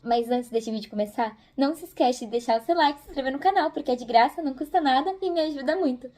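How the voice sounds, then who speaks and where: A woman's voice: high voice, fast, warm tone. A young Brazilian woman chatting casually and encouragingly as she gives tips in a video. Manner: informal, encouraging. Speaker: host of a video channel.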